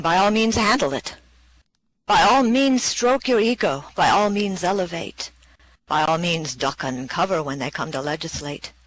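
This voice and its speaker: A poetry performer reading verse and giving brief introductions, with measured, expressive delivery. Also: medium pitch, poor audio.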